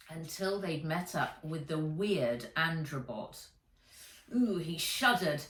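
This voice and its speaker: A woman narrating a children's adventure story aloud as an author reading from a book. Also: lowish voice. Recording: roomy echo, distant from the mic.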